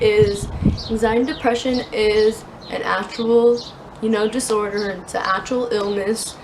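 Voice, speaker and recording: A young woman with a sore throat talking earnestly to her phone camera outdoors, hesitant and full of fillers. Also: medium pitch. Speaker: vlogger. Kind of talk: casual talking-head vlog. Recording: outdoor wind noise. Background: wind and outdoor ambience.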